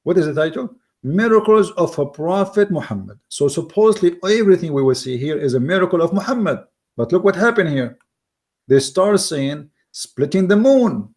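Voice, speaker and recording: A man with an Arabic accent whose first language is Arabic, giving argumentative commentary in mocking, emphatic English with repeated words and halting restarts. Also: sits high, animated, darkish tone. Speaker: online commentator. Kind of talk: argumentative commentary reading from an article.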